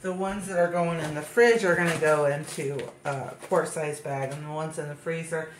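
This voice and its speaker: A woman with a stuffed-up, congested voice talks her way through chopping and bagging food in her home kitchen, filling pauses with easygoing ums. The room rings with echo, and steady background noise sits under her voice. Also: low voice. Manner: chatty, thinks aloud with fillers. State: stuffed-up nose. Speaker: home cook vlogger. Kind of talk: casual kitchen demonstration.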